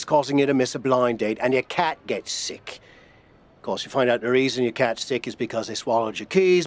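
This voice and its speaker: A man's voice: mid-range voice, close to an everyday voice. A man playing a loyal, joking mentor from the UK in a video game, frank and teasing as he talks. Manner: teasing, frank pep talk. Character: loyal, joking, straight-talking male mentor from the UK. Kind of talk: video game cutscene acting.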